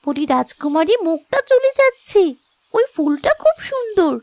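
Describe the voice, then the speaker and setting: Cartoon bird sidekick performed by a woman, small, high-pitched and chirpy, animated delivery. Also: moderate pace, no room sound, studio quality. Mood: eager, encouraging. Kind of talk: Bengali fairy tale cartoon.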